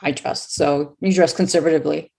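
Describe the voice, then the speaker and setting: Low-voiced woman in her forties. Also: fairly even intonation, brisk, creaky, darkish tone, breathy edge. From California. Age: 40s. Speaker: guest author. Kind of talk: casual interview.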